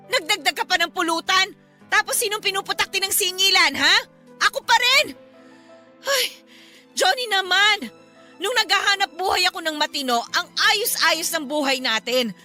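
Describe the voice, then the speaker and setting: A woman's voice: very high voice, pushed and bright, heavily acted. A woman playing a fed-up, defiant wife and mother scolding her husband in a Filipino radio melodrama. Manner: scolding, emphatic, emotionally heated.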